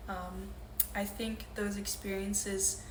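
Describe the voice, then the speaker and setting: A woman's voice: mid-range voice, level tone, slow pace, background noise. Earnest young woman poet, roomy sound. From Louisiana. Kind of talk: poetry reading with spoken introductions. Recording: roomy echo with faint ambience.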